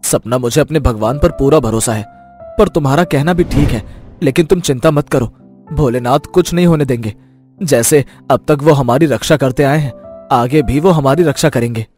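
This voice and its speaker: A man voicing a devout, trusting village husband in an animated devotional story, speaking earnestly. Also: medium pitch, normal speed, faint music behind, close to an everyday voice. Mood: reassuring. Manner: earnest, faithful, reassuring.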